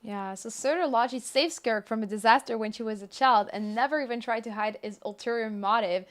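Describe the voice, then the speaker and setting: A woman's voice: a little high, a little fast, fairly bright tone. A woman live streaming a game, chatting casually with viewers and reacting expressively.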